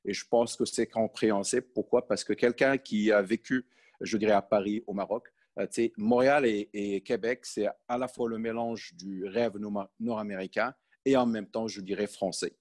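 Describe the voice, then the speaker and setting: A man's voice: lowish voice, fairly even intonation, moderate pace, darkish tone. A Moroccan-born man in his forties, a podcast guest talking about his life in a hesitant, conversational way with many fillers. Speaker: podcast guest, sales manager. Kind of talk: conversational interview.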